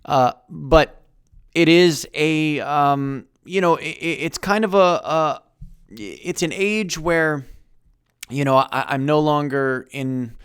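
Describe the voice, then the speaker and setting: A man in his thirties talking to camera in a halting, reflective vlog, with many fillers and restarts. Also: a little high, clean tone, no echo, studio quality. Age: 30s. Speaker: YouTuber. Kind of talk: personal vlog monologue.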